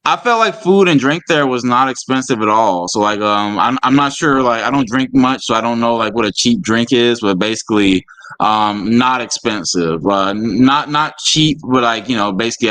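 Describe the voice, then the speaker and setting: A man's voice: on the low side, fairly bright tone, clean tone, low-quality recording. A man co-hosting a live stream, talking hesitantly with many restarts. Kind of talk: casual live stream chat.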